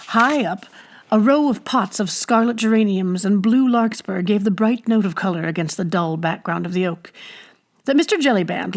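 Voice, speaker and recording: A woman reading aloud in an expressive, scripted storybook narration style. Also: mid-range voice. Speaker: audiobook reader.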